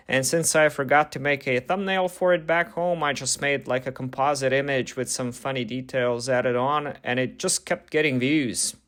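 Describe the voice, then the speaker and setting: A young man whose first language is Croatian talks straight to his viewers in an easygoing, chatty way, dropping fillers as he goes. His voice sits at an ordinary middle pitch. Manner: relaxed, chatty, many fillers like "like". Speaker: YouTube channel host. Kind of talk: casual talk to camera, Q&A.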